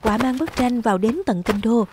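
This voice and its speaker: A woman giving scripted storybook narration as the narrator of an animated folktale. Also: fairly high pitch, normal speed, background noise.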